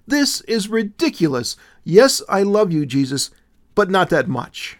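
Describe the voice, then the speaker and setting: A man's voice: on the high side, animated, unhurried, no echo, studio quality. A man voicing an anxious, defensive young woman who stammers and protests nervously. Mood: nervous, indignant.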